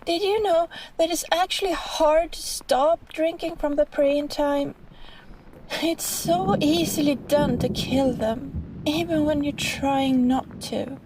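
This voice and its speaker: A woman voicing a sweet but possessive catgirl vampire in an intimate roleplay monologue, soft and halting, pleading, with small sighs. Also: high-pitched, background noise. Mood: wistful, self-pitying.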